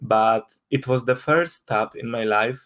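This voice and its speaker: A young man from Poland speaks English with a Polish accent, his first language being Polish. He talks in a casual interview, often restarting words and reaching for fillers. His voice sits at an ordinary middle pitch. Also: measured pace, fairly bright tone. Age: young adult. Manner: hesitant, many restarts and fillers. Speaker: guest.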